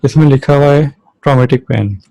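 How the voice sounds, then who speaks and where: A man's voice: medium pitch, brisk, vocal fry, dark tone, no echo, rough recording. A man lecturing in an explanatory way, using everyday examples and answering his own questions as a teacher would. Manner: didactic, asks and answers own questions, switches between Hindi-Urdu and English terms. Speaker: physiotherapy lecturer.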